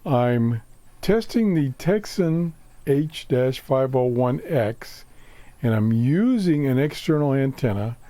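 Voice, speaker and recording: A man hosting a casual hobby radio show from his workshop, talking off the cuff in a halting, matter-of-fact way with pauses mid-sentence. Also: medium pitch, animated, mellow, no room sound. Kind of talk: casual unscripted radio demonstration. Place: home workshop.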